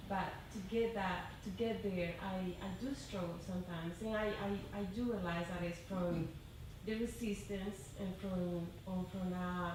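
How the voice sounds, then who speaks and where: A woman far from the microphone in a very reverberant, noisy room shares her own experience with a group. She speaks haltingly, feeling her way through each thought. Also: lowish voice, fairly even intonation. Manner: hesitant, halting. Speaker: meditation group participant. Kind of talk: personal sharing in group discussion. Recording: echoey, distant microphone, noisy background.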